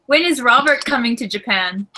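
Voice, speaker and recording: A woman's voice: fairly high pitch, expressive, normal speed, bright, forceful tone, low-quality recording. A woman from Wisconsin in the United States, asking questions in a giggly, encouraging way on a video call. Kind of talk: casual video call chat.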